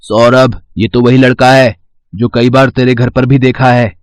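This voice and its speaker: A man's voice: mid-range voice, flat delivery, a little slow, no room sound, natural voice. A man voicing a well-off schoolboy in a cartoon moral story. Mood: sneering. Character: spoiled rich schoolboy turned remorseful.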